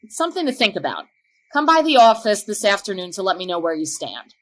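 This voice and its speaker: An actress playing a measured, diplomatic woman district education official in a podcast drama. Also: medium pitch, big pitch swings, close to an everyday voice.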